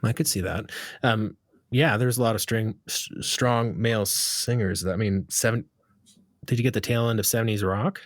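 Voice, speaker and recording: Man, fairly low voice, podcast host, loose rambling questions, dry close sound. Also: moderate pace, dry recording. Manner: relaxed, rambling questions with fillers. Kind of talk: casual interview questions.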